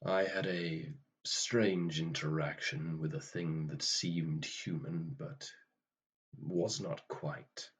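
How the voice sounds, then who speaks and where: Guy acting grim reaper type, low husky voice, slow and formal, weary, radio play. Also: fairly even intonation, full character voice. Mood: preoccupied, puzzled. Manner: formal, dry, understated.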